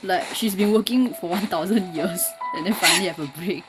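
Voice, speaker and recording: Low-voiced woman gamer commentating casually. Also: brisk. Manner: wry, informal. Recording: game music behind the voice.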